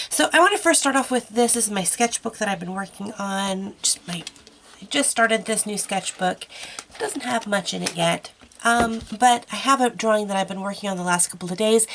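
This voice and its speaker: A woman's voice: mid-range voice, creaky, a bit bright, a little hiss or hum. A woman hosting an art tutorial, chatty and encouraging with lots of fillers, her nose stuffy from a cold. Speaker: art channel host.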